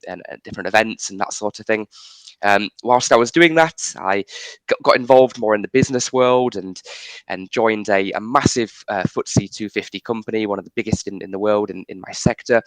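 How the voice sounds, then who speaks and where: A man's voice: on the low side, a bit bright, no echo, low-quality recording. A man in his twenties with a slight Yorkshire accent, a podcast guest talking casually and self-assuredly, often restarting and repeating words. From Yorkshire, England. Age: 20s. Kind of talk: casual interview talk.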